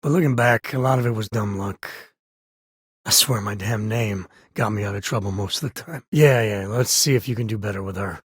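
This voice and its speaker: An actor playing a loyal, wry space ranger and protective father in a video game, with a husky, raspy voice and folksy, conversational delivery. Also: lowish voice, a little fast, no room sound, studio quality. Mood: wry, relaxed. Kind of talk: scripted game dialogue, conversational acting.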